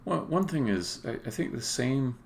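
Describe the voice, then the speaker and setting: A man doing casual live-coding commentary as a game developer, muttering haltingly to himself as he thinks aloud, with keyboard clicks behind him. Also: mid-range voice, sing-song, gravelly. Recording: faint background noise, keyboard clicking.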